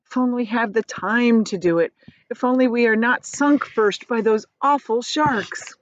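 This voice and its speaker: A woman voicing a calm, determined young boy in a children's storybook, explaining things earnestly and confidently. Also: a little high, moderate pace, highs cut off, pitched above the performer's own voice, lightly acted. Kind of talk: children's storybook character reading.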